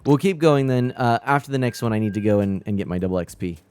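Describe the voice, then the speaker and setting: A man laughs easily and gives his teammates quick gameplay calls during a casual stream. His voice sits at a middle pitch. Faint game music plays underneath. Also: expressive, darkish tone, smooth. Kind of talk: casual gaming chat.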